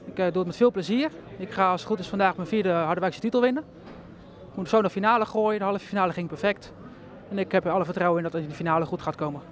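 A man's voice: high-pitched, fast. A man in his twenties answering a reporter's questions at a bowling centre, confident and plain-spoken. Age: 20s. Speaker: bowling champion interviewed. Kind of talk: casual interview answers.

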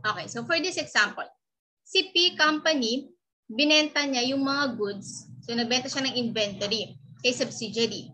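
Bright, high-voiced young Filipino woman teaching. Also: poor audio. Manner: conversational, switches between Tagalog and English. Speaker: accounting instructor. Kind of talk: informal explanatory lecture.

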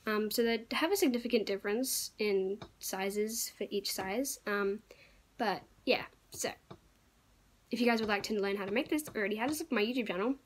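A woman's voice: mid-range voice, fairly quick. A young woman losing her voice, chatting casually and rambling as she shows off her crafts to viewers. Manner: chatty, rambling, lots of fillers and little laughs. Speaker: crafting YouTuber. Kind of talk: casual show-and-tell vlog.

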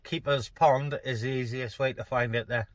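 A man's voice: medium pitch, steady tone, normal speed, heavy background noise. A man chatting casually as a CB radio operator, speaking colloquially and repeating phrases, inside a parked car.

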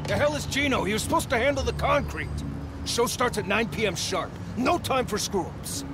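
A man's voice: extremely high pitch, animated, normal speed, over music. An actor playing a bossy, irritable male mob thug in a video game, barking scornfully at his crew. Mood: irritated, scornful.

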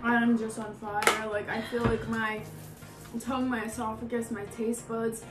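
A woman's voice: a little high, flat delivery, unhurried. A woman in her twenties chatting casually as a guest, sounding sniffly from spicy food. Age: 20s. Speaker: guest, friend of the host. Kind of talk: casual chat. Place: home kitchen and dining room. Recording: echoey kitchen with music behind.

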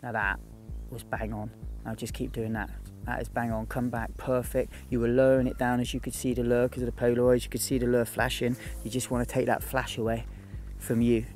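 A man's voice: medium pitch, monotone, brisk, few pauses, darkish tone. Man coaching outdoors with music over. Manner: urgent, repetitive instructions. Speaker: fishing guide. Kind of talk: on-the-spot coaching. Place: outdoors by a lake.

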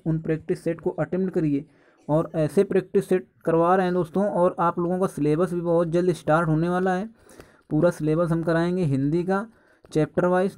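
A man's voice: fairly high pitch, dark tone. A man giving informal exam guidance as a coaching teacher, instructive and often addressing his students as friends.

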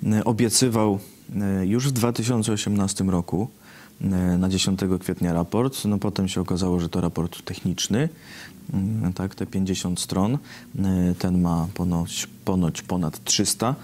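A man's voice: low voice, fairly even intonation, moderate pace, darkish tone. A man in his thirties, a guest editor on a studio talk show, who speaks hesitantly with many filler sounds.

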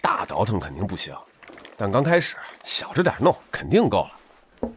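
A man's voice: lowish voice, huge pitch swings, moderate pace, a little hiss or hum. A man playing a tipsy, persuasive street hustler in an audiobook drama, earnest, coaxing and colloquial.

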